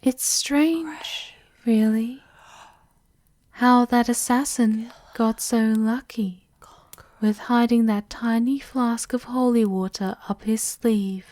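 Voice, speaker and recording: Woman acting as creepy loyal vampire castle maid, higher voice, super formal, slow and hushed, asmr roleplay, really dry close sound. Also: no echo. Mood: quiet menace. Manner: formal, deferential, veiled threats, often breathy whispering.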